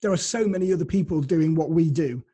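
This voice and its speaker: A man's voice: fairly high pitch, fast talker, dark tone, slightly rough. A man interviewing a podcast guest in a casual, polite and considerate way.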